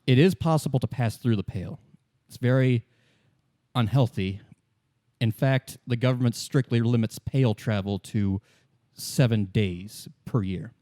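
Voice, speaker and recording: A man narrating as game master, explaining fictional lore in a measured, solemn and deliberate storytelling manner. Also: mid-range voice, warm tone, no room sound, studio quality. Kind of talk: improvised lore exposition in a tabletop game.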